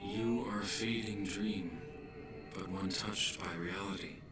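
An actor playing an ancient male spirit who speaks slowly and solemnly in hushed tones, from a game cutscene. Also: fairly low pitch, fairly even intonation. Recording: game cutscene audio over loud music.

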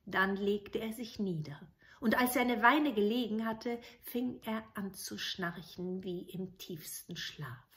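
A middle-aged woman tells a children's fairy tale in a bright, forward voice at medium pitch. Her delivery is dramatic, swelling and dropping in volume, recorded at home facing her device. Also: measured pace, a little hiss or hum. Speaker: storyteller. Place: room in a home.

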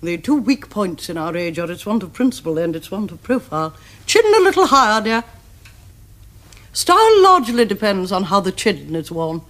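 Casting: woman to play older upper-class English Victorian aunt, domineering and pompous, highly animated pitch, period TV comedy. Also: normal speed, close to an everyday voice. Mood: appraising, commanding. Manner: imperious, pompous, precise diction. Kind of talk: stage-like period comedy acting.

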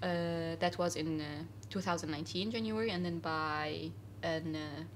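A woman's voice: low voice, moderate pace, slight background noise. A young woman from Syria, a guest in a casual interview, talking earnestly with many fillers and restarts in a cafe. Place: cafe, indoors.

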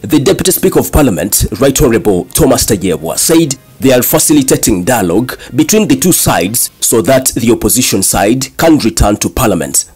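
A Ugandan man hosting a news vlog, hoarse from a cough and flu, talking conversationally to his viewers. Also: medium pitch, lively intonation, fairly quick, few pauses, a little mellow, soft background music. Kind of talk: news update narration.

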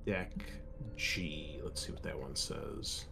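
A man's voice: very deep voice, takes it slowly, creaky, music in the background. A man hosting a tabletop game as game master, chatting casually in a blunt, joking way.

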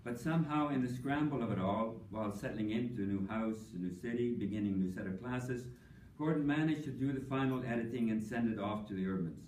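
An older man giving a prepared tribute speech at an academic conference, measured and dry-humored, with frequent ums. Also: medium pitch, darkish tone, slight background noise. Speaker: panelist speaking at an academic tribute session. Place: conference hall. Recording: echoey hall, voice from a distance.

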